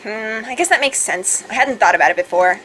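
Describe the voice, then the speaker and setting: A woman's voice: mid-range voice, lively intonation, brisk, heavy background noise. A woman co-hosting a let's play, reading game dialogue expressively and chatting casually between lines. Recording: faint background game music.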